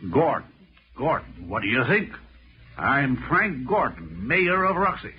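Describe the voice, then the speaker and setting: Curt American town boss, medium-pitched, authoritative and distrustful, vintage radio drama with muffled, slightly noisy sound. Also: male voice, sing-song, unhurried. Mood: stern, suspicious. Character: stern, suspicious small-town mayor interrogating a suspect. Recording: dull, noisy old radio recording.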